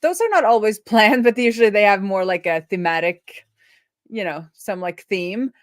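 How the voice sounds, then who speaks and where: A woman's voice: medium pitch, normal speed, dry recording. Casual woman streamer, dry close sound. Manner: informal, thinking aloud. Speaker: live stream host, web developer. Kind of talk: casual live coding stream chat.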